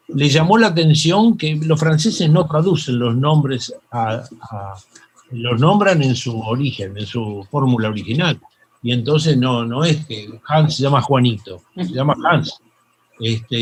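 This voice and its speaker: An older Argentine man with a Rioplatense accent, a psychoanalyst telling stories in an interview, halting with many fillers and restarts. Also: mid-range voice, expressive, slight background noise, rough recording. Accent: Rioplatense Spanish. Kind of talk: reflective interview storytelling.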